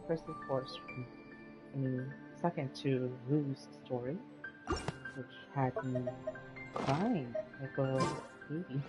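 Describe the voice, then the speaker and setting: A woman with a very deep voice chats casually as she plays a video game. She talks in a halting, reflective way while loud game music plays behind her. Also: low-quality recording. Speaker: gamer narrating own gameplay. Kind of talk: casual gameplay commentary.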